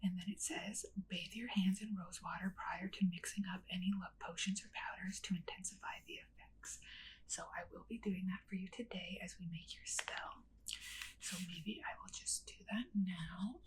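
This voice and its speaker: A woman softly whispering an ASMR roleplay in a gentle, reassuring, instructional way. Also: mid-range voice, fairly even intonation, normal speed, poor audio. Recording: clearly audible ambient noise.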